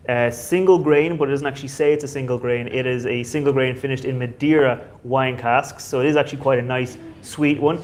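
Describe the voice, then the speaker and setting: A man hosting a whiskey society tasting night, chatty and loose, with many fillers. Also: mid-range voice.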